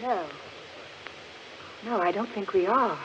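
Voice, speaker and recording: Timid nervous guy, high voice, old radio horror, muffled with lots of noise. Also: takes it slowly, a bit bright. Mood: frightened. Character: nervous, timid member of walking party. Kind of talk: 1940s radio horror acting.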